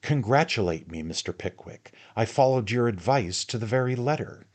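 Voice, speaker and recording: A man reading aloud and voicing an excitable, touchy middle-aged suitor who turns shrill and accusing. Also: normal speed, lightly acted. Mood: excited, eager.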